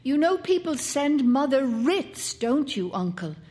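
A woman's voice: sits high, very animated, unhurried, dark tone, voice pushed up. A woman voicing an anxious, intense young boy who stammers and pleads.

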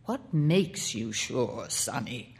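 A woman voicing a genial grown man, an uncle who gently teases a boy. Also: very animated, vocal fry, full character voice. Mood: indulgent. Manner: slow, lowered, indulgent.

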